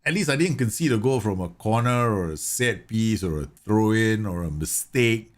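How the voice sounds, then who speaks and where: Male streamer from Singapore, slightly low-pitched, relaxed running commentary. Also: moderate pace. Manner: chatty, laughs often, reads game messages aloud. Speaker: gaming streamer. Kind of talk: casual live game commentary.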